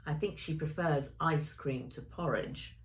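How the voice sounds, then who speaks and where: A woman's voice: low-pitched, a little slow. A woman narrating her own children's story expressively, speaking directly to young listeners. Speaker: author reading own story.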